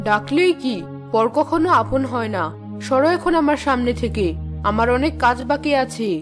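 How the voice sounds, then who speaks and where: A scheming middle-aged stepmother from a cartoon family drama , acted by a woman. Her voice sits high and she talks in a domineering, scolding way. Music plays clearly behind her. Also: moderate pace, close to an everyday voice. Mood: curt, resentful. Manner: scolding, bossy.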